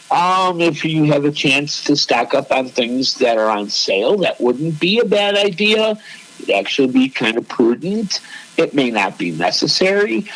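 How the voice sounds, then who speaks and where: A man's voice: sits high, low-quality recording. A man, co-hosting a casual chat, rambling and laughing often. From Chicago. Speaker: co-host.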